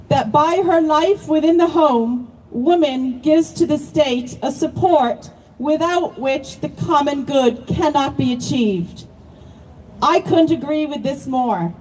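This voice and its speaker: A woman with a high voice and a non-native accent delivers a prepared, declamatory speech at a rally. The voice sits back from the microphone, with a faint hum of outdoor noise behind it. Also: a little mellow, distant mic, low-quality recording. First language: not born in Ireland, long resident. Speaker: rally speaker.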